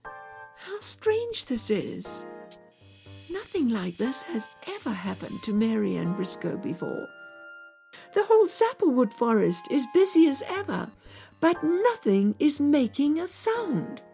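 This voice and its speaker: A theatrical narrator of children's space tales, performed by a woman. She speaks slowly in a high, swooping voice, full of wonder, with music underneath. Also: very animated, creaky, warm tone, heavily acted. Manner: theatrical, wondering, addresses listeners directly. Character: dramatic storyteller of children's space adventures. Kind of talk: scripted children's radio narration.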